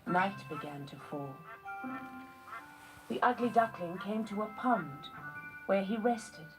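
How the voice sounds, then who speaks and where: A woman narrating a children's story from a script in measured phrases with short pauses. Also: medium pitch, animated, low-quality recording. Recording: music behind the voice. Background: music.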